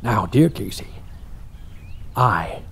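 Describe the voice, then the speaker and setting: An actor playing an obsessed, greedy older male archaeologist who talks pompously and cruelly, with a gravelly, husky voice. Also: fairly low pitch, lively intonation, takes it slowly, full character voice. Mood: imperious. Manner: pompous, cruel, bursts into manic shouting. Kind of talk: audio drama acting.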